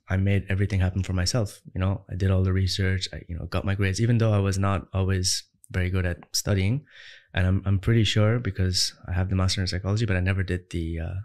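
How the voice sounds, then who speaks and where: Emphatic low-voiced man from Ireland. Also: a little mellow. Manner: emphatic, rising into punchy rhetorical questions. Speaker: entrepreneur guest. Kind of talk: conversational interview answers.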